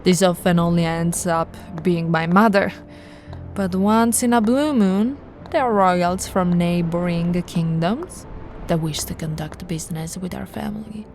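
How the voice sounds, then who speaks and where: A woman voicing a possessive, seductive, haughty vampire noblewoman in an intimate roleplay, with theatrical drawn-out words and breathy whispers. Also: fairly low pitch, darkish tone, faint music behind. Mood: haughty, amused. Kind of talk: intimate ASMR audio roleplay.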